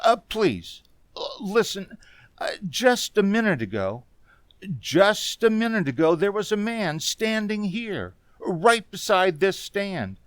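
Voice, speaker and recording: A man playing a haunted, frightened driver who recounts an eerie road journey in a halting, stammering radio-drama style. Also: high-pitched, big pitch swings, dry recording, heavily acted. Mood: pleading, agitated. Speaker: amateur community theater actor. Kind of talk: dramatic first-person radio play narration. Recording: music under the voice.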